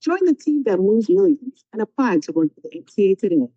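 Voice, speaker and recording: A woman's voice: fairly high pitch, very animated, a little fast, mellow, husky, no echo, lo-fi sound. A woman delivering a scripted recorded public announcement for a transit system.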